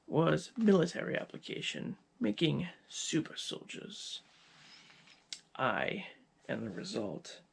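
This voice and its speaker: A man playing a cold, gloating scientist villain in an ASMR roleplay, speaking with deliberate, menacing pauses in a gravelly, husky voice. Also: a little high, full character voice.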